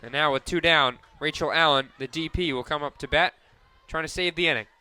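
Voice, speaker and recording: A man calling softball play-by-play for radio, narrating each pitch and play in crisp descriptive detail. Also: a little fast. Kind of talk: live softball radio commentary.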